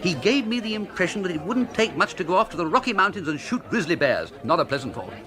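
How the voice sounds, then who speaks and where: An actor playing a good-natured, dim young upper-class English gentleman in a comic radio drama, chatty and hesitant in a posh drawl. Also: sits high, sing-song, fast, a crowd in the background. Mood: earnest.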